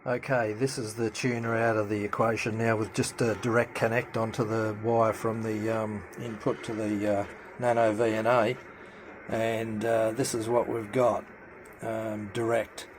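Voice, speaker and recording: A man giving an unscripted hands-on demonstration as a hobbyist, speaking haltingly with many pauses. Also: lowish voice, a little hiss or hum, low-quality recording. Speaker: amateur CB radio hobbyist presenter. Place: indoor room at home with radio gear.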